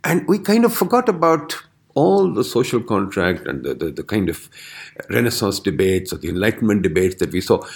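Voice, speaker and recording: A man hosting a conversation who thinks aloud and keeps up soft listening murmurs. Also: lowish voice, very animated, a little fast, vocal fry. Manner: reflective, lots of listening murmurs. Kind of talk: conversational discussion.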